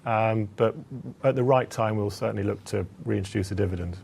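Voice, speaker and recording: A man speaking as a company executive, answering analysts on an earnings call in a hesitant, halting, measured way. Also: on the low side, gravelly, darkish tone, slightly rough.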